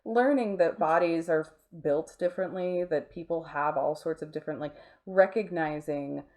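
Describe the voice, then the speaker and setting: A woman speaking conversationally in an interview, explaining earnestly and haltingly, with many filler words. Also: deep voice, darkish tone. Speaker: interview guest, art studio owner.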